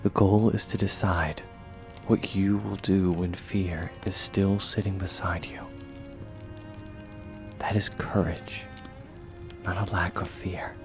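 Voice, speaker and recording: Male actor as kind swordsman mentor, deep voice, unhurried, soft near-whisper, reassuring, ASMR comfort roleplay. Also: flat delivery, over music. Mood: earnest reassurance. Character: gentle, steadfast young swordsman mentor comforting a friend.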